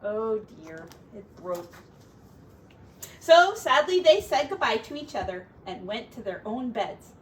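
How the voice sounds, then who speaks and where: A woman teacher reading a picture book to young children expressively, with gentle, simple asides, recorded on a device set back from her. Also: fairly high pitch, very animated, takes it slowly. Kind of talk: picture-book read-aloud with chatty asides. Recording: distant mic with faint background noise.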